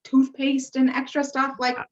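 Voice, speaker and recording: Muffled, higher-voiced young American woman. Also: completely flat delivery, moderate pace. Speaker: podcast co-host. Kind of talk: casual chat. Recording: muffled, distant-sounding microphone.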